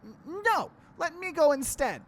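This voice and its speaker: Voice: high voice, very animated, measured pace, voice pushed up. A let's play host voicing a frightened but brave young woman who stammers and trembles in a visual novel. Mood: tearful, scared. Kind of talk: acted visual novel reading.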